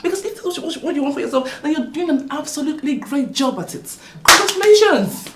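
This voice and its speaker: A woman's voice: on the low side, lively intonation, moderate pace, heavy background noise, natural voice. A woman playing a scornful mocking sister. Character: scornful sister defending her brother.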